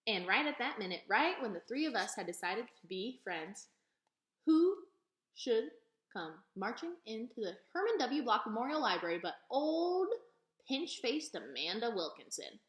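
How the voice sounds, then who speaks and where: A woman narrating a children's storybook aloud in an expressive read-aloud style. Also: a little high, normal speed. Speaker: storybook reader. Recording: echoey room.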